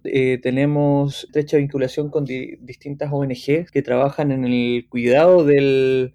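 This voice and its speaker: Unhurried Chilean man, tour guide. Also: mid-range voice, dark tone. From Chile, Patagonia. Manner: unhurried, with many restarts and tag questions. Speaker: guest, tour guide association president. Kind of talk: casual interview answers.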